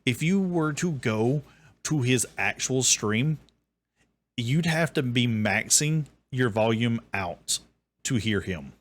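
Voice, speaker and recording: A man with a deep, bassy voice giving sarcastic, ranting commentary on another streamer's clip. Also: animated, slow. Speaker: commentary host. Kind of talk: reaction commentary.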